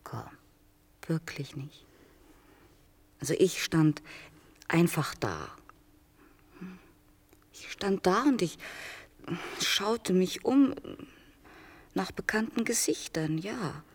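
A lowish female voice plays a mother remembering in a radio play. She is slow and hesitant, intimate and wry, slipping toward a whisper. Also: animated, husky, heavily acted. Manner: hesitant, hushed, breaking into whispers. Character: mother recalling deportation, quietly wry and intimate.

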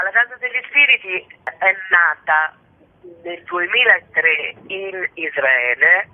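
A woman from Italy, a theatre actor and director, talking discursively and emphatically as a radio interview guest. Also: sits low. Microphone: phone call to a radio show. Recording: narrow, tinny phone line.

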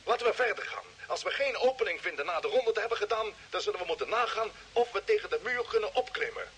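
A man acting a brisk, decisive commander of a Mars expedition in an old Dutch radio drama, giving clear orders. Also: high voice, big pitch swings, fairly bright tone. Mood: commanding. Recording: noisy vintage radio play recording.

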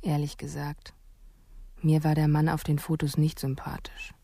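An actress playing a candid female psychologist narrating her memories in a radio drama, measured and matter-of-fact. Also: sits low, completely flat delivery, gravelly, dark tone, no room sound. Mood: reflective, candid. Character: reflective, candid female psychologist recalling a case.

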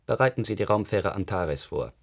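Deep-voiced, cold faction leader. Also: male voice, animated, brisk. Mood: cold, imperious. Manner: terse, commanding, unemotional. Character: cold, threatening male leader of a powerful faction. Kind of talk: German radio drama acting.

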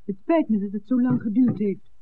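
A woman's voice: on the high side, animated, moderate pace, soft background music, lightly acted. Friendly innkeeper's daughter played by a woman, muffled recording. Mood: friendly, curious. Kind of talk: 1960s Dutch radio drama acting. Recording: muffled, narrow old radio recording.

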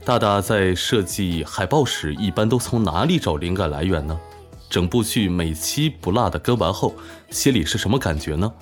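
A man's voice: low voice, animated, moderate pace. A man co-hosting a casual live-streamed event, reading out questions and announcements. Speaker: live-stream co-host of an audio drama event. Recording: music behind the voice. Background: background music.

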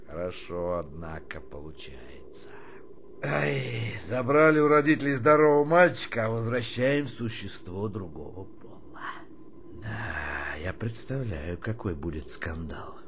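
An actor playing a middle-aged army colonel in a radio drama, authoritative and sardonic, moving between muttering and barking orders. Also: male voice, fairly low pitch, expressive, unhurried, background noise. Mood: bewildered, weary. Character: male army colonel, weary commanding unit officer.

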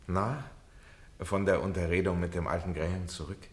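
An actor playing a polite, measured man under police suspicion in a German radio play, with formal phrasing and a husky voice. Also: low voice, steady tone. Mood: concerned.

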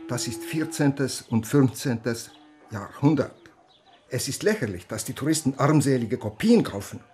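A man's voice: mid-range voice, expressive, measured pace, a little mellow, faint music behind, natural voice. A man acting a dry, courteous Estonian police inspector in a German radio drama. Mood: proud, wry.

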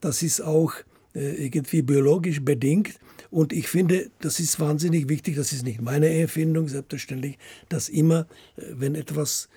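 An older man, a writer being interviewed, talking haltingly as he searches for words and often adding little tag questions. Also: fairly high pitch, fairly even intonation, mellow, husky, no echo. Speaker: radio play writer as interview guest. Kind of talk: unscripted interview chat.

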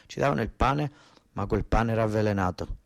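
A man's voice: on the low side, brisk, vocal fry. Insistent southern Italian storyteller, male. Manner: insistent, repeating words for emphasis. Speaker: stage actor and storyteller. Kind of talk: theatrical narrative monologue.

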